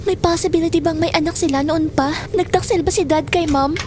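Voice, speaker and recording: A woman's voice: extremely high pitch, fairly even intonation, a little fast, noisy background. A woman playing an emotional, suspicious young daughter in an audio drama, with a Filipino accent and a dramatic, demanding delivery. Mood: shocked, suspicious. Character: distraught young daughter, suspicious and accusing of father.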